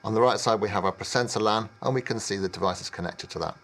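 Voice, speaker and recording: A man in his fifties presenting product training in a measured, explanatory way with some hesitation. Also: lowish voice, fairly bright tone. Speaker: technical trainer.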